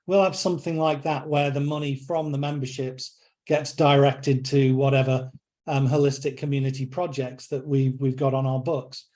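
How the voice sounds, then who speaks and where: Brief: mid-pitched man, relaxed host on a video call, upbeat and talkative. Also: moderate pace. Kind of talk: casual video call chat.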